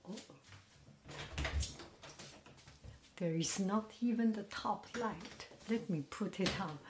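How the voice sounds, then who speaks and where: A woman hosts a quiet, casual chat, speaking gently in a half-whisper. She talks at a very slow, unhurried pace. Her voice sits in a noticeably echoey room, with a faint hiss underneath. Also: fairly low pitch. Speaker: podcast host. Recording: roomy echo with faint noise.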